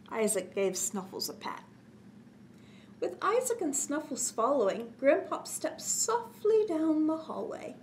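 Middle-aged lady doing kids story time, highish voice, really sing-song up and down. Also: slight background noise. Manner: expressive, simple phrasing for young children. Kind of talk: children's read-aloud narration.

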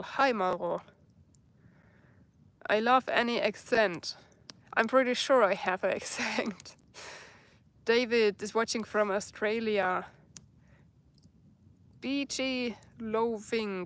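A woman's voice: a little high, a bit bright. A woman in her thirties with a German accent, reading viewer comments on a live stream at night in the forest, searching for words in English. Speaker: bushcraft vlogger. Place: outdoors in a dark forest at night by a campfire.

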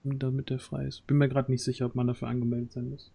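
A man's voice: medium pitch, completely flat delivery, quick pace, creaky, warm tone, husky. A man, chatting casually as host of a livestream, often searching for words. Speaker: stream host and audio drama organiser. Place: small room at home, on a group video call.

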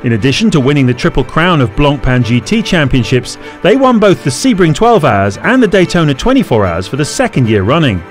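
Male reporter, voice-over narration, lively up-and-down pitch, fairly high, light music under. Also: a little fast, few pauses. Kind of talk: scripted narration.

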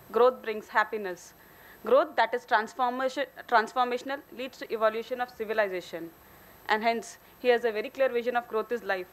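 High-voiced Indian woman presenting. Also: a bit bright, low-quality recording. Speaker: company engineer presenting a case study. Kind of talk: formal conference presentation. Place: hotel conference hall, at a podium on stage.

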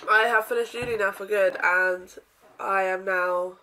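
A young woman vlogging casually from her bedroom, with a croaky voice from a sore throat, chatting in a rambling way with lots of ums and throat clearing. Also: mid-range voice, steady tone, measured pace, bright, forceful tone, poor audio. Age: young adult. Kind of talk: casual day-in-my-life vlog. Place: bedroom, indoors.

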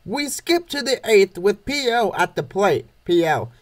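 A man's voice: high voice, sing-song, a little slow. A man reading scripted play-by-play narration of a comic baseball game. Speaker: narrator of a comic dub series.

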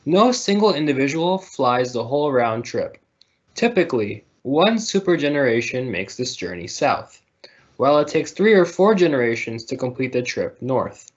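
Animated man reading aloud, muffled sound. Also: mid-range voice, moderate pace. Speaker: storybook reader. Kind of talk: children's picture book read-aloud. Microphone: video call. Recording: muffled, lo-fi video call audio.

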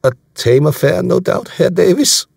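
Man playing a husky, genial German commander, shrewdly probing. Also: high-pitched, animated, moderate pace, a little mellow, no room sound, studio quality, voice pushed up, full character voice. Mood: amused, curious. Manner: courteous, probing questions.

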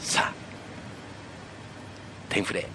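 A man doing live play-by-play bowling commentary, exclaiming and repeating words at big moments. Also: very slow. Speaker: sports play-by-play announcer. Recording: lo-fi broadcast, loud noise and crowd. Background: bowling arena crowd noise.

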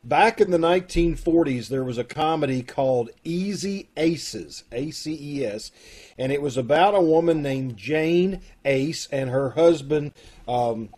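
Higher-voiced man hosting casually. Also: lively intonation, unhurried, a little mellow. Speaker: host of an amateur radio-drama troupe. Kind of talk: casual chat, introducing cast and show. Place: indoor room at home, video call. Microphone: video call microphone.

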